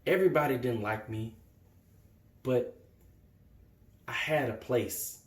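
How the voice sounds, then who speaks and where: A man's voice: sits high, big pitch swings, measured pace, far from the mic. A man performing a dramatic stage monologue as a wounded, indignant young man, theatrical and emphatic. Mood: hurt, subdued. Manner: theatrical, emphatic, swinging from outburst to hushed hurt. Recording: echoey room.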